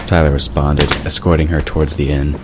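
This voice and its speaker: A man narrates a fantasy audio drama in an extremely low voice. The delivery is scripted storytelling, with clear noise in the background. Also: level tone, a little fast.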